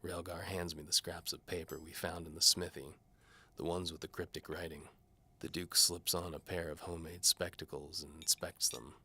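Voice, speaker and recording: Very deep, forceful hardboiled mercenary played by a man. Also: little pitch movement, moderate pace, husky, full character voice. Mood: probing. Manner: wry, terse, hardboiled. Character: cynical, hard-bitten male mercenary bowman narrating his case. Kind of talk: scripted first-person noir fantasy narration.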